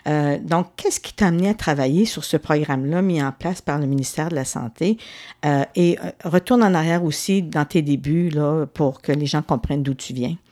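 A woman's voice: low-pitched, moderate pace, dark tone. A woman interviewing a guest in a casual, informal way with fillers. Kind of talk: interview questions.

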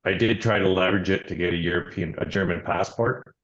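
A man's voice: deep voice, quick pace, muffled recording. A man, a company founder talking casually as an interview guest, with a loose, hesitant manner. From Canada, Alberta. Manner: relaxed, many "um"s, trails off with "and stuff".

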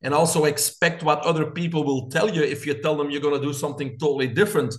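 A man's voice: fairly high pitch, steady tone, fast. A middle-aged man from Belgium with a Belgian accent, a coach who talks through his life story in an earnest, motivational way as a podcast guest. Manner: earnest, motivational, speaks in lists. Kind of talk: interview storytelling.

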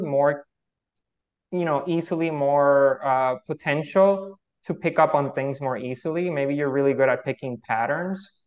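A man's voice: fairly high pitch, lo-fi sound. A man from Puerto Rico whose first language is Spanish, a guest researcher explaining things thoughtfully, with frequent fillers and restarts. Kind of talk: casual explanatory interview.

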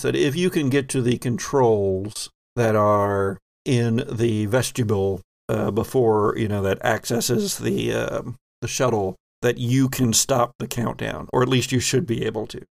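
A man runs a horror tabletop game as its game master. He describes scenes with suspense, taking his time in a gravelly, medium-pitched voice. The sound is dry. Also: a little slow, few pauses, no echo.

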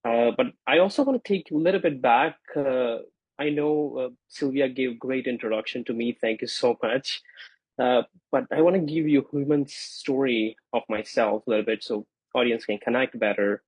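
A man in his twenties whose first language is Gujarati, a podcast guest giving earnest, grateful answers with frequent pauses and repeats. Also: medium pitch, slightly rough, no room sound, poor audio. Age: 20s. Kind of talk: conversational interview answers.

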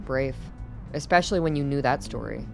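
A man's voice: mid-range voice, brisk, gravelly, soft background music, natural voice. An actor playing an earnest, educated man, curious and serious, in radio play dialogue.

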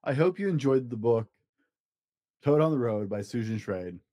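A man hosting a children's story podcast, reading aloud in an expressive, animated way. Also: mid-range voice, normal speed, no echo. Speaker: podcast host and storybook reader.

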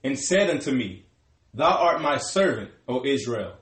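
A man's voice: medium pitch, big pitch swings, unhurried, a bit bright. A man reading Bible verses aloud in a formal, declamatory way as a scripture reader. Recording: echoey room.

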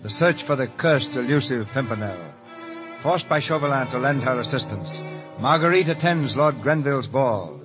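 A man's voice: medium pitch, animated, moderate pace. A man giving dramatic scripted narration for a 1930s radio play. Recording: muffled 1930s radio recording. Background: music.

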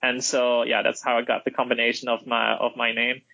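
Podcast guest: Flemish-accented man, chatty, raspy fry and a bright tone. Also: medium pitch, completely flat delivery, fairly quick, dry recording, lo-fi sound. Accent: Flemish Dutch. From Flanders, Belgium. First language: Dutch. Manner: chatty, many fillers and restarts. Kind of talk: casual game chat.

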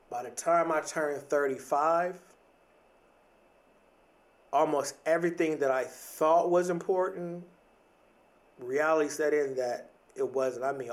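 A man's voice: medium pitch, recorded from a distance. A man in his thirties talking in a reflective, casual podcast monologue, often repeating and restarting words, with casual slang. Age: 30s.

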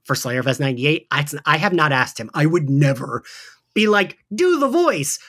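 A man's voice: on the high side, very animated, normal speed, a bit bright. A man in his forties hosting a podcast, talking casually and chattily in a fan discussion, giggling often. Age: 40s. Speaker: podcast host.

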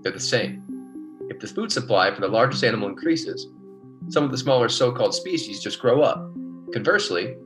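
An actor playing an earnest expedition biologist in a radio science fiction drama, explaining insistently. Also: male voice, mid-range voice, animated, fairly quick, over music, natural voice. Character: earnest male expedition biologist, recording clipped field reports.

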